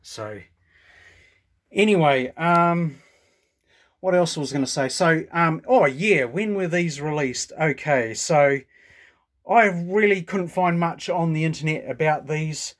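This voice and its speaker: A man's voice: on the high side, slow pace. A man with a New Zealand accent giving a casual, hands-on show and tell, rambling and thinking aloud as he goes. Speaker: hobbyist radio reviewer. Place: indoors at a home workbench.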